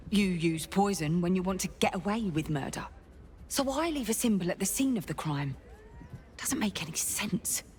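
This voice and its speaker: A woman's voice: on the low side, a little hiss or hum. A woman playing a dutiful city guard investigator in a game, clipped, official and dryly wry. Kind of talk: video game dialogue.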